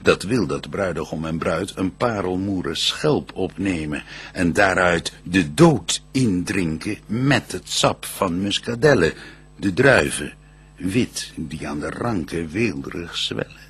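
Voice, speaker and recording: An actor playing a solemn, reverent archangel, declaiming verse in a stately, measured manner in a radio play. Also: male voice, sits low, animated, a bit bright, slightly rough, full character voice. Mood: solemn, commanding.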